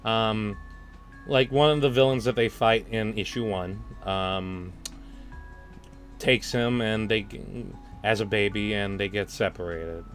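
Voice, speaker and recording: A man's voice: fairly low pitch, steady tone, a little slow, a bit bright. A man hosting a casual livestream, speaking hesitantly with stammers and a giggly manner over a music playlist. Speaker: livestream host.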